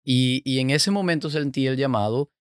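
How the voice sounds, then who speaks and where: Cuban man, halting and dry. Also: mid-range voice, clean tone, no room sound. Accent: Cuban Spanish. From Cuba. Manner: halting, many fillers and restarts. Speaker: podcast co-host. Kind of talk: casual testimony chat.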